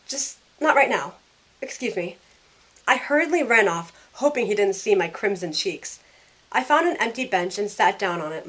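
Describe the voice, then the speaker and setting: A sarcastic young woman tells her own story in an audio drama, acted by a woman. Her voice sits at a middle pitch and moves between narration and dialogue in a self-questioning way. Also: fairly bright tone, rough recording. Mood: flustered, self-questioning.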